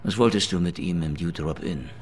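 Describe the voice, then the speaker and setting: Actor as tough detective, very low voice. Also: male voice, brisk, natural voice. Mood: impatient interrogation. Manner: blunt, gruff, demanding. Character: tough, impatient male Harlem police detective interrogating suspects. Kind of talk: radio crime drama acting.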